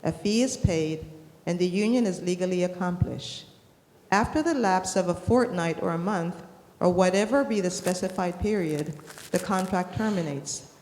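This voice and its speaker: Low-voiced woman reading aloud, echoey hall. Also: normal speed, darkish tone. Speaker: class participant reading aloud. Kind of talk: reading a historical book aloud. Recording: echoey hall, long reverb.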